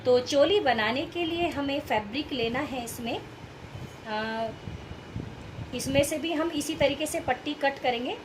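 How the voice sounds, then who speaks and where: A woman's voice: high voice, a little slow, noisy background. A woman with a sore throat, explaining craft steps in a casual, instructional tutorial style. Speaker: host of a craft tutorial channel. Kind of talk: casual step-by-step sewing tutorial narration.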